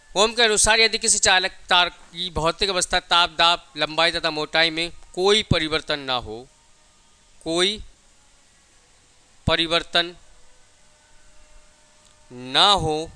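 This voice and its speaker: Guy teaching class, really bright forceful voice, slow, keeps repeating stuff and asking then answering himself. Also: a little high, steady tone, clear, resonant tone. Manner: repeats key phrases, asks and answers own questions. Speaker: physics teacher. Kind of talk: classroom lecture with dictated definitions.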